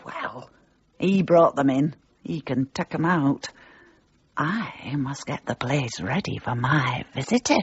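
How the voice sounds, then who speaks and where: A woman's voice: low voice, breathy edge, exaggerated voice. A knowing, coy village witch. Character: scheming middle-aged village witch, a widow. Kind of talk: 1990s radio drama acting. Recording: dull muffled radio recording.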